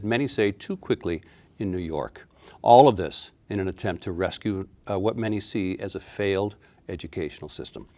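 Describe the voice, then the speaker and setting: Male host, lowish voice, formal scripted intro, conversational questions. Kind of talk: TV interview hosting.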